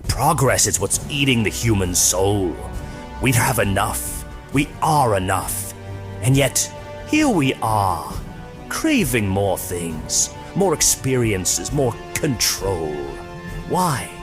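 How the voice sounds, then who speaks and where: Guy doing an evil old space count, grand theatrical monologues, animated delivery over music, radio serial. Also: fairly low pitch, takes it slowly. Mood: grandiose, bitter. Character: nefarious, gaunt, wizened male space-count villain. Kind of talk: comedic radio serial villain acting.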